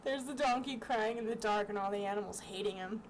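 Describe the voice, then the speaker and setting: A woman's voice: medium pitch, brisk, low-quality recording. A young woman giving casual, wry commentary in an offhand way. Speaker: storytime co-host commenting on pictures. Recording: faint background noise.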